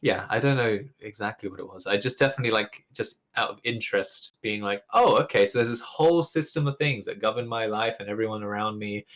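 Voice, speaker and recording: A young man with an English accent talks as an interview guest, from Ukraine with Russian as his first language. His voice sits a little low, and he rambles along casually, restarting his sentences. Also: a little fast, few pauses, a bit bright.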